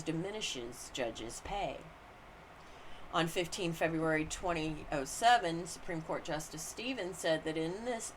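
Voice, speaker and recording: A woman reads legal commentary aloud in a deliberate, formal manner. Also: sits low, slight background noise, rough recording. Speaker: audiobook-style reader.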